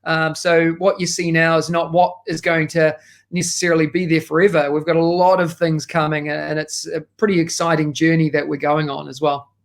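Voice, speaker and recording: Upbeat New Zealand man, slightly high voice. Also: flat delivery, moderate pace, vocal fry. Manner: enthusiastic, many fillers. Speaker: guest, education consultant. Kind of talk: casual podcast interview.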